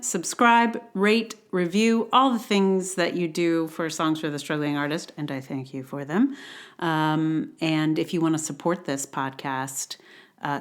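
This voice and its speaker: Female podcast host, lowish register, casual unscripted intro, chatty and self-deprecating.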